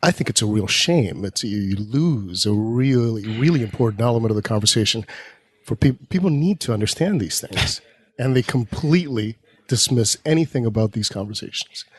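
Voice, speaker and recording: Hesitant husky-voiced man asking a question. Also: medium pitch, lively intonation. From Dominican Republic. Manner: hesitant, halting, careful phrasing. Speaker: audience member asking a question. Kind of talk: live podcast question.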